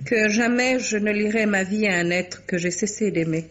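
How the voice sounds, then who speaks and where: Actress doing imperious kept woman in old french radio play, theatrical n ironic, mid pitch, kinda dull lo-fi sound. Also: moderate pace, natural voice. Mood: proud. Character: haughty, romantic kept mistress, sharp-tongued Parisian woman. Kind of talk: 1950s French radio drama acting. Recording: dull vintage radio recording.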